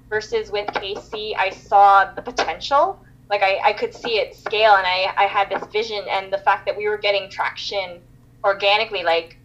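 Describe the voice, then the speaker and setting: A woman's voice: medium pitch, a bit bright. Chatty woman on dull call audio. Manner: chatty, many fillers and restarts. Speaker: podcast guest, entrepreneur. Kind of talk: casual interview chat.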